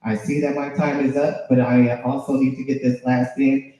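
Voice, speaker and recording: A man giving a public comment speech as a labor representative at a meeting, speaking with halting emphasis. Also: mid-range voice, level tone, dark tone. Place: indoor public meeting hall. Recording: echoey meeting room.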